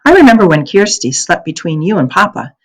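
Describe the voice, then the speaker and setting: An earnest, thoughtful young girl, the sensible older sister of a storybook tale, acted out by a woman. Her intonation is lively and varies widely. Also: on the low side, brisk. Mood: fond.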